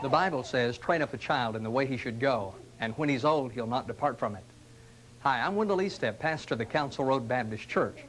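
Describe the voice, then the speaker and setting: A middle-aged man, a pastor, speaking in an earnest, gentle, inviting tone in scripted television ads from the 1980s. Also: medium pitch, expressive, brisk, background noise. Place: studio sets and church rooms, indoors. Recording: 1980s local TV ad audio.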